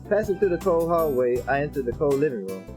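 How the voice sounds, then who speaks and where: A man's voice: a little high, sing-song, fast, darkish tone, faint music behind. A man reading visual novel text aloud for a let's play, with casual slangy asides.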